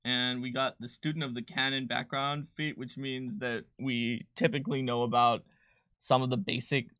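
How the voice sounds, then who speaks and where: A man's voice: medium pitch, flat delivery, moderate pace, sharp tone, no echo. A man co-hosting a live stream as a game designer, casually explaining tabletop rules with a pedantic eye for detail.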